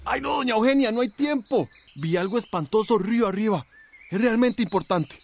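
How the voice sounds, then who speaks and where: An agitated high-voiced rural villager. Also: male voice, lively intonation, normal speed, loud music behind the voice. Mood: urgent, anxious. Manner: agitated, urgent, colloquial rural speech. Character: frightened young rural villager bringing alarming news. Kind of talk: radio drama acting.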